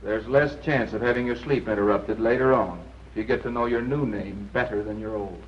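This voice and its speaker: A man's voice: on the low side, a little fast, slight background noise, lightly acted. A man playing a character in a wartime spy training drama, in 1940s film acting style. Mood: firm, insistent. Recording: muffled 1940s film soundtrack.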